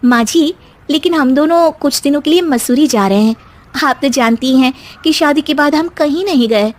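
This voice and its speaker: A woman's voice: high-pitched, normal speed, pitched above the performer's own voice, natural voice. A woman voicing a young newlywed daughter-in-law in a family tale, polite but pointed and firm.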